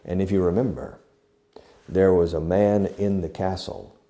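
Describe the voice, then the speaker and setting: A man teaching a beginner English lesson, explaining slowly and acting out each phrase, with short glosses in another language. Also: sits low, steady tone, dark tone. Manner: slow, deliberate, demonstrative. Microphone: video recording.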